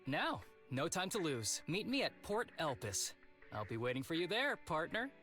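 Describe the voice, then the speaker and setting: Languid young officer with lively pitch swings. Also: male voice, moderate pace, faint music behind. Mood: confident. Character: laid-back young male executive officer, languid and teasing. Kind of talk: video game voice acting.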